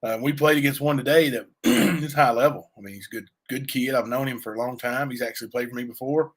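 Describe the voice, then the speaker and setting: A man with a raspy voice, chatting loosely on a livestream and answering viewers, full of fillers and asides. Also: mid-range voice, fast. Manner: rambling, many fillers and asides to chat. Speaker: livestream cohost; youth basketball coach. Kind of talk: casual livestream chat.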